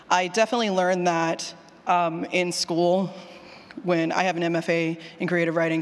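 Informal speaker in a reverberant hall. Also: mid-range voice. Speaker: presenter, fiction podcast writer. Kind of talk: conference session talk with audience questions. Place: conference session room.

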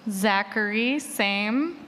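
A woman's voice: mid-range voice, extremely slow, fairly bright tone. A woman moderating a live panel, putting questions to the guests in turn. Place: large room at a live conference panel. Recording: reverberant hall, faint room noise.

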